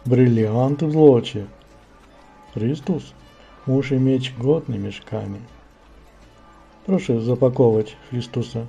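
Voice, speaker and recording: A pompous wealthy gentleman played by a man in a religious cartoon. His voice is very mellow and dark with a husky grain, and he talks slowly over faint music. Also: mid-range voice, highs cut off, full character voice. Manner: pompous, deliberate. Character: pompous rich gentleman with showy piety. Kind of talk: amateur stage-play acting in a cartoon.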